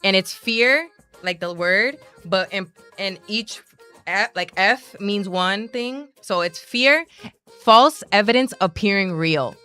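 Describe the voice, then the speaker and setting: A woman's voice: medium pitch, expressive, slow pace, bright, forceful tone, smooth. A woman hosting a live talk show, upbeat and chatty, with faint music behind her. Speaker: host. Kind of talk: casual live show chat.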